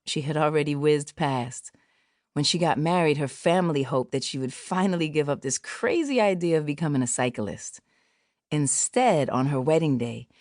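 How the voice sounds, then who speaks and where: A woman working as an audiobook narrator, reading a scripted children's story with measured expression. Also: low voice, darkish tone, no room sound, studio quality.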